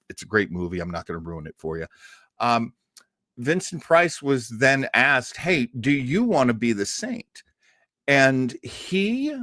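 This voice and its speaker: Male host, mid-pitched and very animated, emphatic storyteller delivery, casual live introduction, dry room sound. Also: dry recording. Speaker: host of a radio listening party. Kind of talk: casual live introduction to an old radio show.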